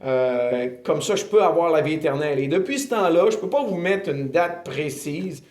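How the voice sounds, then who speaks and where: A man in his fifties with a Québécois accent, earnestly telling his faith testimony in an interview, often pausing with filler sounds. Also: a little high, expressive, a little mellow. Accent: Québécois French. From Quebec, Canada. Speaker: ministry director giving testimony. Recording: roomy echo.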